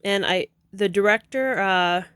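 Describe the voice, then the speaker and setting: A chatty woman in her thirties, vlogging from home in a loose, rambling way. She drifts between thoughts, corrects herself as she goes and laughs easily. Her voice sits at a middle pitch in a dry, close sound. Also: measured pace, fairly bright tone, clean tone, no room sound. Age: 30s. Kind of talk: casual rambling vlog talk.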